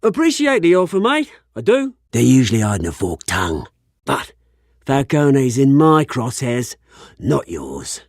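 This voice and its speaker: Gravelly British crime lord, wildly swinging pitch. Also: male voice, huge pitch swings, a little slow, dry recording, studio quality, heavily acted. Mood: cocky, menacing. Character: bitter, menacing British crime-lord revolutionary, faded rich heir. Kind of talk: dramatic video game acting.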